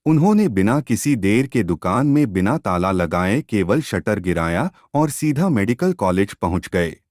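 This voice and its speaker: A man tells a scripted eerie tale in a fairly low voice that rises and falls with plenty of expression. His delivery is very slow, measured and dramatic, as a storyteller's would be. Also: darkish tone, dry recording, clean studio sound. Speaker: storyteller, narrator. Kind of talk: scripted ghost-story narration.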